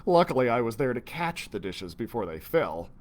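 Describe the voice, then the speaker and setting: A male storyteller reads a children's book aloud. His pitch is medium but swings very widely, giving a highly animated narration. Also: normal speed. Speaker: library storyteller.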